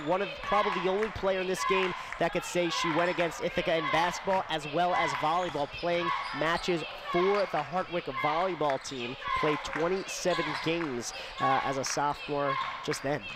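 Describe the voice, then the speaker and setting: A man's voice: on the high side, fairly even intonation, normal speed, hardly any pauses. A man working as color commentator, giving live analysis of a basketball game. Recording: very loud noisy gym broadcast. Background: loud gym noise and ball bounces.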